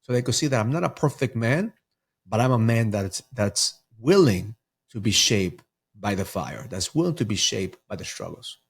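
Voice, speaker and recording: A man's voice: lowish voice, expressive, normal speed, creaky, husky. A middle-aged man from Ecuador, a mindset coach talking earnestly as a podcast guest, often repeating words as he restarts. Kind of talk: podcast interview.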